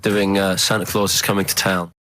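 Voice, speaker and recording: A man's voice: low-pitched, flat delivery, fairly quick, sharp tone. A man chatting casually on radio as a stand-in DJ.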